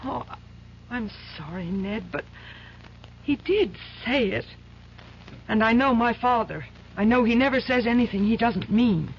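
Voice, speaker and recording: A woman's voice: on the high side, expressive, measured pace, pauses often. An actress playing a playful, coaxing young woman who turns insistent, in a 1970s radio drama. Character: teasing, coaxing young woman, stubborn underneath. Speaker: lawyer's girlfriend and boss's daughter. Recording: dull lo-fi old radio broadcast with faint hiss.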